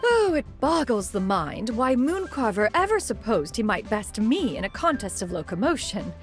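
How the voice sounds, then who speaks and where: A woman's voice: on the high side, sing-song, moderate pace, soft background music. Pompous, haughty immortal woman master. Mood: boastful. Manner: pompous, archaic formal speech, refers to self as "one". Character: proud, haughty ancient immortal bird adeptus, a woman master. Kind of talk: video game character dialogue.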